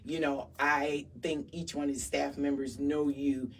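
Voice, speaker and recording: A woman's voice: low voice, little pitch movement, a little slow, distant mic. A woman hosting a round table radio talk in a studio, upbeat and promoting the station's events. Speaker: radio talk show host.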